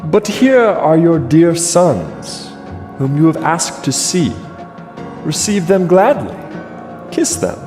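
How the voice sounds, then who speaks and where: An actor playing a vengeful, gloating king in a classical tragedy, measured and theatrical with mock courtesy. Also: fairly high pitch, big pitch swings. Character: vengeful gloating king, falsely gracious to his brother. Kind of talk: classical tragedy stage acting. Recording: long hall reverb, music underneath.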